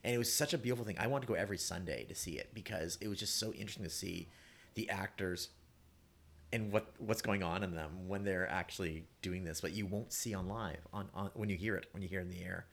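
A man's voice: deep voice, quick pace, vocal fry, a bit bright. A man, a guest playwright chatting casually in an effusive, rambling way. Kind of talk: casual panel chat.

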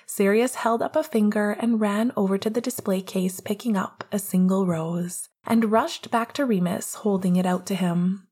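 A woman narrating a story as a podfic reader, with a soft, breathy, husky voice and an intimate, scripted delivery. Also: medium pitch.

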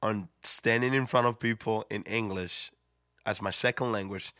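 A man, a pastor co-hosting a casual talk show, who speaks haltingly and repeats words as he goes. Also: fairly low pitch, fairly even intonation, bright, forceful tone, no room sound, clean studio sound. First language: Spanish. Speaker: pastor, co-host. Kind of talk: casual podcast chat.

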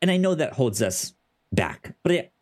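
A man hosting a podcast in casual chat, who stutters on first sounds and thinks out loud with many fillers. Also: medium pitch, big pitch swings, moderate pace. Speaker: podcast host.

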